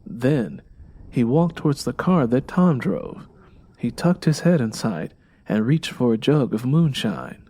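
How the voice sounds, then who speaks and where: A man's voice: medium pitch, big pitch swings, measured pace, mellow, husky. A man reading scripted fiction as an audiobook narrator.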